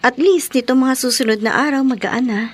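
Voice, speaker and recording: An actress playing a polite but weary young daughter in a radio drama, speaking with a Filipino accent. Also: female voice, high-pitched, fairly quick. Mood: familiar, tired. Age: young adult.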